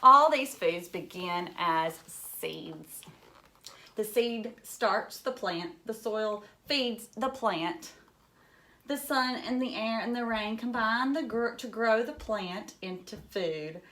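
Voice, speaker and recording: A woman's voice: a little high, animated, takes it slowly, bright, forceful tone. A woman teacher reading a children's book aloud, adding simple, encouraging explanations in short phrases and putting questions to young listeners.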